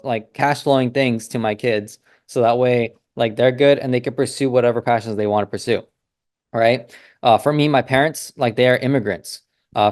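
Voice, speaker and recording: A man's voice: medium pitch, a little mellow, dry recording. Rambling man, dry close sound. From United States. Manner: loose and rambling, many fillers and restarts. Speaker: business consultant host. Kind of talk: casual monologue.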